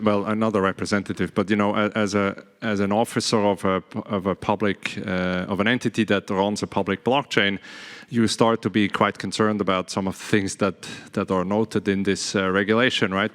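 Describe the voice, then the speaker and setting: A man with a Swiss accent speaking on a conference panel, halting, with many fillers and restarts. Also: low voice, fairly bright tone. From Switzerland. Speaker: panelist, blockchain entity officer. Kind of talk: panel discussion. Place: conference hall stage. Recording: long reverb of a conference hall.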